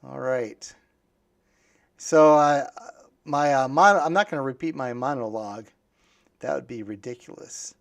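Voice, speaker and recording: A man hosting a casual conversational interview, musing aloud and speaking haltingly in fragments. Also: medium pitch, creaky. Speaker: podcast host.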